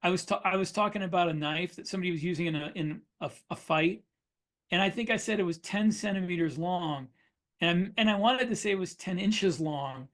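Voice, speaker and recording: A man's voice: sits high, brisk, fairly bright tone. A man co-hosting a writing podcast, chatting casually and explaining things in a wry, plain-spoken way. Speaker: podcast co-host and science fiction writer. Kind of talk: casual shop-talk chat.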